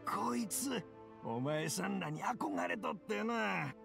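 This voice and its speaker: A man voicing an elderly, gently worried craftsman father with a Kansai accent in an anime game. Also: high-pitched, lively intonation, slow, vocal fry, exaggerated voice. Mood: mild, matter-of-fact. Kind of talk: Japanese anime game voice acting. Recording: music behind the voice.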